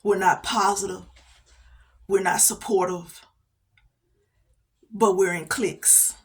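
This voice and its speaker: A woman giving a motivational faith talk, preaching with repeated phrases and soft laughs between points. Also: mid-range voice, steady tone, takes it slowly. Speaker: inspirational speaker.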